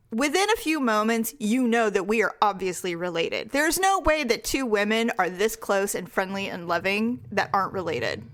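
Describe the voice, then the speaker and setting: A woman in her forties co-hosting a podcast, chatting casually with her sister, blunt and frank and quick to laugh. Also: on the high side, a bit bright. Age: 40s. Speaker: podcast co-host. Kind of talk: casual chat.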